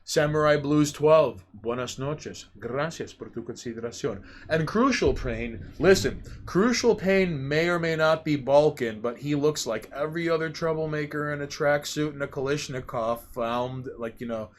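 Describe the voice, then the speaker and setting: A man's voice: on the high side, normal speed, hardly any pauses, slight background noise. A man co-hosting a casual podcast, ranting with plenty of swearing and reading viewer names from a live chat. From New York. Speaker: podcast co-host.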